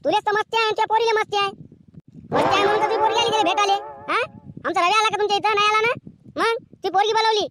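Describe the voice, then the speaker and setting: A man playing a combative, sharp-tongued neighbor woman in a village cartoon comedy, taunting in a rural Vidarbha Marathi accent with a pitched-up voice. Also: steady tone, racing, very noisy. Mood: taunting, indignant. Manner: quarrelsome, taunting.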